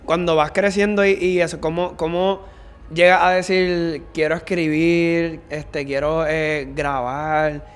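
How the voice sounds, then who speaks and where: A man's voice: a little high, level tone, takes it slowly, clear, resonant tone. A man with a Puerto Rican accent hosting a casual interview in an informal, slangy way with frequent interjections. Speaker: interview show host.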